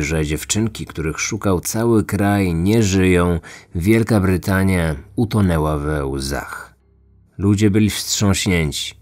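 Low-voiced man narrating true crime over music. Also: a little mellow. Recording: music under the voice. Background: background music.

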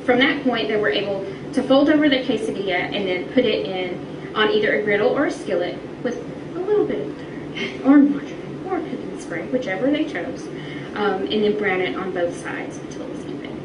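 A woman's voice: mid-range voice, expressive, fairly quick. A woman teacher explaining things in interview answers. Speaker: middle school teacher. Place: classroom, replayed on a projection screen. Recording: distant echoey re-recorded playback, noisy room.

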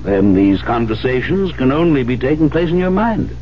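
An actor playing a skeptical, persistent psychiatrist in a 1970s radio drama, questioning firmly and deliberately. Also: male voice, mid-range voice, big pitch swings, natural voice. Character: probing, skeptical male psychiatrist, firm and persistent. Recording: muffled, noisy 1970s radio broadcast.

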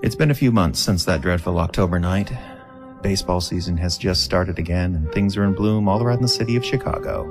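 A man playing a wry, wisecracking monster-hunting reporter, narrating a radio drama in a sardonic, chatty, mock-formal way. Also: sits low. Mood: wry recounting. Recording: music behind the voice.

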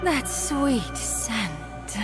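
An actress playing a seductive, sadistic demon queen in a video game, with a languid, sensual, drawn-out delivery, savoring death. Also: a little high, sing-song, exaggerated voice. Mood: languid, hungry. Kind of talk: video game villain acting. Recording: loud music under the voice. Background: loud music.

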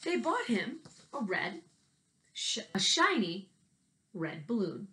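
A woman, talking casually and reading to children in an animated, expressive way, like an elementary teacher. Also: medium pitch, slow. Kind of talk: casual video message and children's read-aloud. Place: small bedroom at home, indoors. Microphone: laptop webcam at desk distance.

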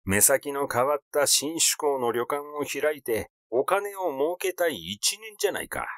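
A man's voice: a little high, expressive, unhurried, fairly bright tone, dry recording, studio quality. A man voicing a glib fifty-year-old artist in a story, nervously defiant, protesting in chatty, casual speech. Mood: mocking.

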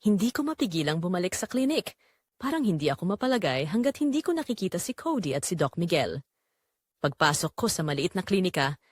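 A woman's voice: medium pitch, dry recording, clean studio sound. A woman with a Filipino accent narrating a scripted first-person romance as a tender young call center agent. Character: tender young female call center agent telling her love story. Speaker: story narrator.

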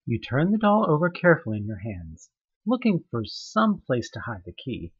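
A man's voice: medium pitch, huge pitch swings, moderate pace, slightly rough, lo-fi sound. A man narrating a horror webcomic audioplay in the second person, telling it with tense, dramatic questions. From United States.

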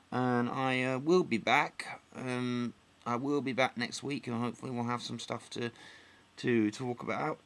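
A young man from England, slightly croaky after flu, rambling through a casual solo vlog update with many ums and restarts, filmed on a close webcam in a dim living room. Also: medium pitch, a bit bright. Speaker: vlogger.

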